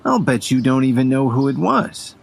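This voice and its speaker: A man playing a calm, defiant young hero in an audio drama, speaking in a firm, controlled way. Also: medium pitch, normal speed, vocal fry. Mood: cool, confident.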